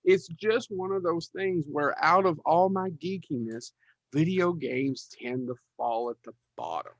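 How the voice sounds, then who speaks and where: A man's voice: on the high side, unhurried, a bit bright, no room sound, muffled recording. A middle-aged man co-hosting a podcast in casual, rambling chat with a self-deprecating manner. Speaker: podcast co-host.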